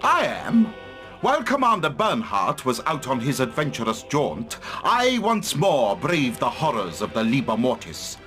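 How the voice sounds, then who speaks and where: An actor playing a pompous, long-winded wizard scholar of the occult in a fantasy game cutscene, with theatrical delivery. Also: male voice, medium pitch, huge pitch swings, a little slow, a bit bright, soft background music. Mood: self-important.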